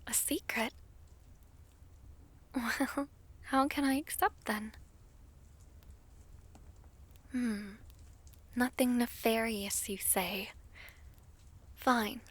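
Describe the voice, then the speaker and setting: Woman acting as a tender vampire princess, really slow soft whispery talk, high voice, romantic audio roleplay. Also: exaggerated voice. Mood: coyly curious. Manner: soft-spoken, breathy half-whisper, slow and formal. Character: gentle, lovesick ailing vampire princess, formal and tender.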